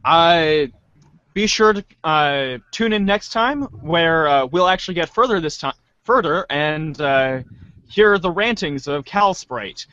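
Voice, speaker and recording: A man with a fairly high voice hosts an amateur show's sign-off. He is playful and theatrical. The sound is dry and somewhat muffled, as on an online call. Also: lively intonation, slow, sharp tone, clean tone, no room sound. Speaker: show host. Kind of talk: informal show sign-off.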